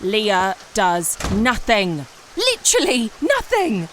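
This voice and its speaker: Wry, animated, self-important woman. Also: mid-range voice, slow pace, slight background noise, full character voice. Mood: sardonic, irked. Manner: wry, sardonic, dry. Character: wry, self-important woman writer turned amateur detective. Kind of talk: audio drama narration and dialogue.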